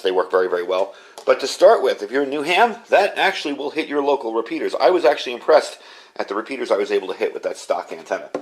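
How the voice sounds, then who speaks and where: A man's voice: medium pitch, a little hiss or hum. Casual man reviewing radio gear. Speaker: ham radio product reviewer. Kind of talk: casual product review demonstration.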